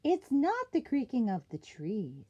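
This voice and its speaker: Woman as a lilting, husky storybook owl. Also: a little high, wildly swooping pitch, a little slow, warm tone, muffled recording, pitched above the performer's own voice, heavily acted. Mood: regretful, emphatic. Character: friendly female owl, regretfully answering a friend.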